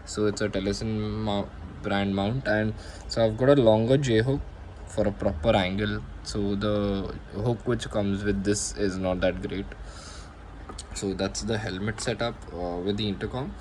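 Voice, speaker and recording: Low-pitched Indian man, noisy recording. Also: fairly even intonation, measured pace, a little mellow. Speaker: motovlogger presenting own gear. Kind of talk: casual unscripted product show-and-tell.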